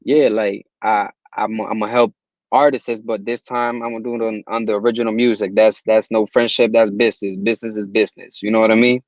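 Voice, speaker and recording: A young man from Puerto Rico, a guest in a casual interview, talking in relaxed slang with many restarts. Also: on the low side, a little fast, no room sound, dull audio. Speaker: guest, music distributor.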